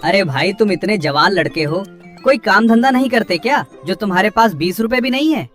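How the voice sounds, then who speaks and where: A humble boatman from a cartoon tale, acted by a man, speaks in a high, very lively voice. He is hard-working and kind, and lectures plainly. Soft music plays behind him. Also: fairly quick. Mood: reluctant, relenting. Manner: plain-spoken, admonishing. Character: kind, hard-working village boatman, grumbling but humane. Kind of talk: dramatised cartoon story dialogue.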